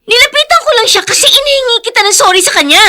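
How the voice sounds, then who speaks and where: A woman's voice: very high-pitched, animated, racing, bright, forceful tone, full character voice. An actress playing an upset, fed-up young girlfriend in Filipino radio drama, agitated and tearful. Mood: distressed, exasperated.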